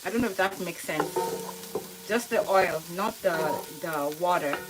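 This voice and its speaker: A Kenyan-accented woman talks viewers through home cooking in a casual, step-by-step manner. Her delivery is very slow and halting, with pauses as she works. Food sizzles and clatters clearly behind her in the kitchen. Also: fairly low pitch. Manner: unhurried, halting, explains step by step. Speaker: home cook hosting a cooking tutorial. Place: kitchen, indoors. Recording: noisy kitchen, sizzling frying sounds. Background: frying sizzle, stirring, clicks.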